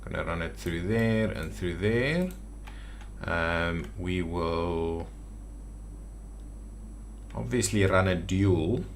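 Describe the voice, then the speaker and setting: A man's voice: sits low, extremely slow, creaky, long pauses, a little hiss or hum. A man giving casual gameplay commentary as a video host, thinking aloud and muttering to himself. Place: indoors at a computer.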